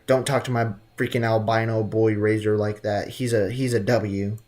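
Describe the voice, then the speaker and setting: A man's voice: lowish voice, flat delivery, moderate pace, darkish tone. A young adult man giving casual, slangy live gameplay commentary with jokey asides. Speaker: game streamer. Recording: game music behind.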